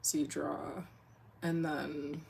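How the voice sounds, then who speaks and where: A young woman with a deep voice, sniffling, chatting casually on a drawing livestream and rambling with lots of fillers. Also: flat delivery, takes it slowly, creaky, a little mellow, slight background noise. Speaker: comic artist streamer.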